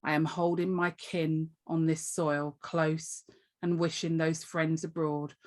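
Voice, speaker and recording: A woman's voice: deep voice, level tone, slow pace, a little mellow. A woman taking part in a video call workshop, reading a passage aloud carefully.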